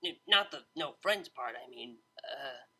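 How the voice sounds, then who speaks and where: A man's voice: mid-range voice, animated, unhurried, pushed and bright, exaggerated voice. A man voicing a laid-back young female unicorn DJ, talking in a casual, slangy, self-mocking way. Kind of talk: fan audiobook character voice.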